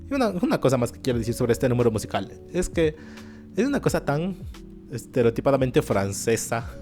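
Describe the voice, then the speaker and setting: Man, animated, sarcastic, mid-pitched, faint background music. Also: brisk. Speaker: YouTube commentator. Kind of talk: opinion commentary video.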